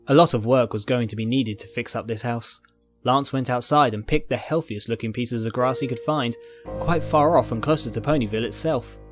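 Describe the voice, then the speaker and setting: A male narrator reads a story in a dramatic, scripted storytelling style. His voice sits at an average pitch, with soft music playing underneath. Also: a little fast. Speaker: narrator, fan fiction reader.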